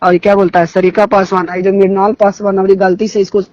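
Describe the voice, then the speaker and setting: High-pitched young Bihari man, indignant, muffled line. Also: level tone, fast, darkish tone, dry recording. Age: young adult. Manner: indignant, emphatic, repeats phrases. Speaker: phone caller discussing local politics. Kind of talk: impassioned informal political phone talk. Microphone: phone call. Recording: dull lo-fi phone call recording.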